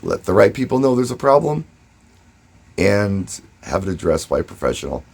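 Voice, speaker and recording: Low, husky-voiced man chatting casually. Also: very animated, normal speed, a little mellow. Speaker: DJ and vlogger. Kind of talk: casual first-person advice and storytelling to camera.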